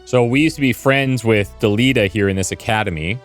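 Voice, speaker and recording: Low-voiced man commenting casually on gameplay. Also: brisk, faint music behind. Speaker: host of a gameplay series.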